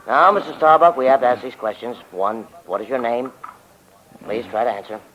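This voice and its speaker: Actor as an officious American sheriff, muffled old radio. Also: male voice, mid-range voice, brisk, a little hiss or hum, lightly acted. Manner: formal questioning, patronizing. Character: officious male county sheriff, folksy, plodding, unruffled. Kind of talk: 1940s radio drama acting.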